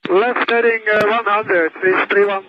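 A man's voice: sits high, fairly even intonation, a little slow. A male airline pilot making clipped emergency calls over aircraft radio, repeating short phrases. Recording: noisy, crackly, dull aircraft radio transmission.

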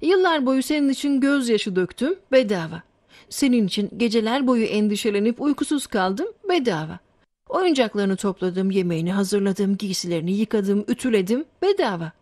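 A woman playing a caring, wise mother in a children's cartoon, speaking in an affectionate, gently instructive way. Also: medium pitch, expressive, normal speed, dry recording, lightly acted. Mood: tender, earnest.

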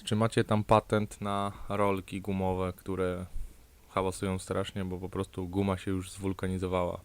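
A man hosts a casual showcase of audio gear, explaining in short, unscripted, somewhat hesitant phrases. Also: low-pitched. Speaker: host of an audio gear repair channel. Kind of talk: casual product showcase vlog.

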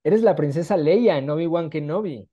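A young man with a Mexican accent hosts a casual online interview. His tone is mellow and relaxed, his manner chatty and enthusiastic, picked up on a video call. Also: fairly high pitch, moderate pace. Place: small room at home, on a video call.

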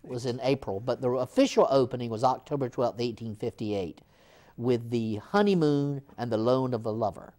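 A man's voice: medium pitch, normal speed. An older man in his sixties, a theater executive director, telling the hall's history in a TV studio interview with lots of fillers and restarts. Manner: animated storyteller, frequent restarts and fillers. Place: small TV studio set, seated in armchair.

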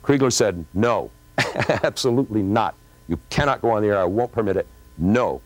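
Deep-voiced middle-aged man telling a story. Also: lively intonation, moderate pace, dry recording. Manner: dramatic, acts out quoted dialogue. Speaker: former radio program director recalling a broadcast. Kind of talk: animated storytelling to camera. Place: TV studio stage.

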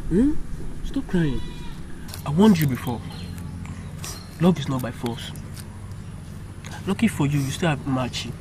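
A man's voice: on the high side, very animated, a little slow, long pauses. An actor playing a young man's loyal friend who bluntly urges him to stop crying. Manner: blunt, coaxing. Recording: clear background noise.

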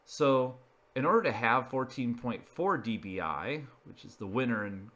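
A man's voice: mid-range voice, lively intonation, normal speed, a bit bright. A man presenting an informal narrated slideshow, explaining each item in a matter-of-fact way. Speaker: video presenter.

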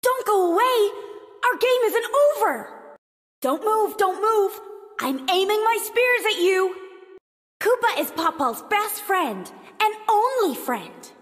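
Casting: bratty boy prankster in a game, very high, swooping voice, boastful and playful. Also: sing-song, slow pace, fairly bright tone, heavily acted. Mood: playful bossy. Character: cheeky, boastful little boy hunter, prankster. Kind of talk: video game hero voice lines. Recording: long reverb.

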